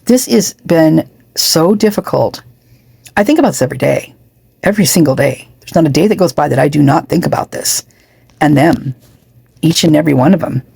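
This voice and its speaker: A woman's voice: deep voice, lively intonation, brisk, vocal fry, mellow, breathy edge, no echo. A woman losing her voice, chatting casually and rambling with many asides as a true crime commentator.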